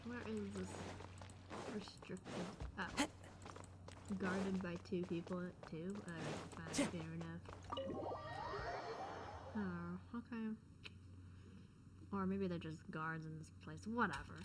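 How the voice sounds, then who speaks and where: A woman's voice: fairly low pitch, slow pace, long pauses. A woman streaming a game with casual, slangy reaction commentary, muttering short responses to what she sees. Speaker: gaming streamer. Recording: noisy gameplay audio behind voice.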